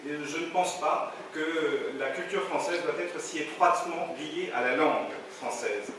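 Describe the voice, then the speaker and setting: A man's voice: a little high, measured pace. A man working as an interpreter, rendering a speaker's remarks into French in fluent, flowing sentences. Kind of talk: consecutive interpretation. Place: conference hall. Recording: echoey hall from far off, background noise.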